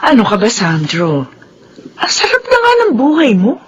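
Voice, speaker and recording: A woman acting in a Filipino radio drama, playing a doting, nagging middle-aged newlywed mother with a husky voice and Filipino accent. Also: on the high side, wildly swooping pitch, moderate pace, highs cut off, a little hiss or hum. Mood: coaxing.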